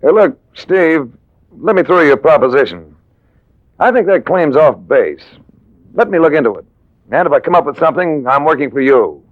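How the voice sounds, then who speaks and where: A man's voice: on the high side, lively intonation, moderate pace, no echo, natural voice. A man playing a wry, self-assured hardboiled investigator in 1940s radio drama style, narrating and talking. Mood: pressing. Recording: tinny, noisy vintage radio recording.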